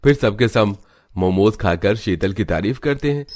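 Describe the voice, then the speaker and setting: A man reading scripted storytelling narration for an animated family tale. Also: on the low side, moderate pace, no room sound.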